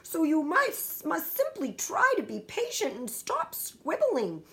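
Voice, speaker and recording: Woman voicing a kindly big giant, word-muddling, lifted and bouncy voice, drawn-out delivery, storybook. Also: high-pitched, huge pitch swings, a bit bright, voice pushed up, exaggerated voice. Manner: jumbled made-up words, eager. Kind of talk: storybook reading.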